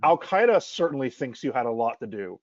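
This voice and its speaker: A man hosting a relaxed interview over a video call, asking questions loosely and restarting often. His sound is dry and close, with a dull, lo-fi quality. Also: mid-range voice, brisk, no echo. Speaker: host. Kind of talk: casual interview.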